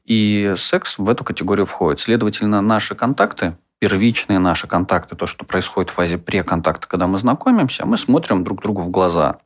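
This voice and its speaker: Low-voiced man explaining on a podcast. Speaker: psychologist and sexologist co-host.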